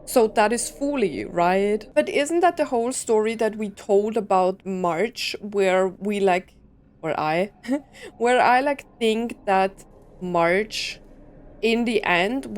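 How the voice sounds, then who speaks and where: A woman's voice: medium pitch, takes it slowly, a little hiss or hum. A woman gaming streamer giving casual reaction commentary, thinking aloud as she goes. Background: game music.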